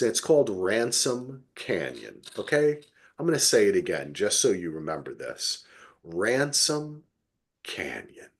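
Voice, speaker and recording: A middle-aged man co-hosting a podcast, reviewing television casually with emphatic, playfully exaggerated storytelling. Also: fairly low pitch. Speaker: podcast co-host.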